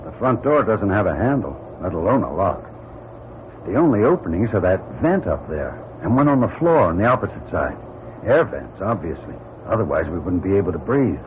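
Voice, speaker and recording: A man playing a practical, brisk space crew captain, anxious but taking charge, in an old-time radio drama. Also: low-pitched, big pitch swings. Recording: muffled radio recording, clear background noise.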